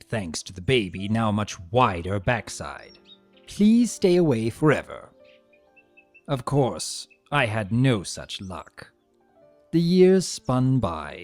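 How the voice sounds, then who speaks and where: A man voicing a vain, spiteful, sarcastic trickster creature trapped as a statue, telling its story with theatrical, sneering, drawn-out emphasis. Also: mid-range voice, a little mellow, dry recording. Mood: smug, disdainful. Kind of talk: dramatic first-person story reading. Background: music.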